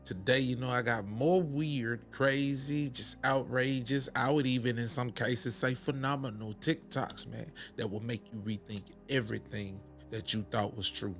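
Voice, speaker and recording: A hoarse man hosting a reaction video, talking in a loose, slangy, conversational way. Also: medium pitch, fairly even intonation, moderate pace, few pauses, fairly bright tone, soft background music. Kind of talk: casual commentary.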